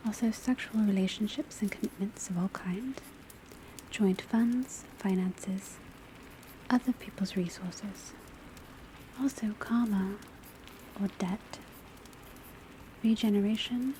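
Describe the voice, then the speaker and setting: A woman playing a calm, studious witch academy professor, lecturing in a soft, breathy, mostly whispered ASMR style with measured, deliberate delivery. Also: medium pitch, slight background noise, natural voice, poor audio. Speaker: teacher lecturing a class. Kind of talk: ASMR classroom roleplay, soft-spoken lecture. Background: faint patter of rain on rooftop.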